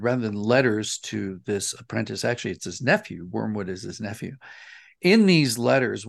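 Guy being interviewed, creaky mid-pitched voice, thinking out loud and explaining stuff, conversational. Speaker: interview guest, audio drama writer and director.

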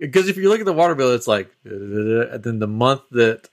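Guy hosting a podcast, normal pitched voice, chatty, acts out quotes and little noises while he explains stuff. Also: brisk. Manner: animated retelling, acting out little quotes and sound effects. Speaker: podcast host. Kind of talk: casual podcast banter.

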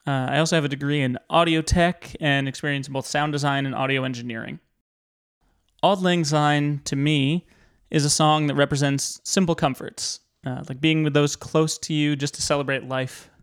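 A man, a music teacher and guest, speaking in a measured way with frequent "uh" fillers as he explains his work. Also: a little high, fairly even intonation, clean tone. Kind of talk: prepared guest introduction.

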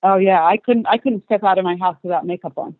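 A woman's voice: mid-range voice, fast talker, no room sound. Woman in her forties, from Australia, chatty and rambling, dry thin phone-line sound. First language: English. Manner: chatty, long run-on answers with light laughs. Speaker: actress guest. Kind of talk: podcast interview.

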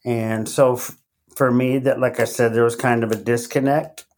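A middle-aged man raised in Latin America, speaking as a podcast guest in a casual, halting way with many fillers and restarts. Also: medium pitch, little pitch movement. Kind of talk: casual interview.

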